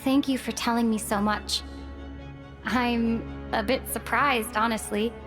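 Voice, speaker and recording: A woman's voice: on the high side, fairly even intonation, a little slow, music in the background, natural voice. An actress playing a thoughtful young female traveler in a video game, speaking in a composed, earnest, reflective way. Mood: composed, sincere.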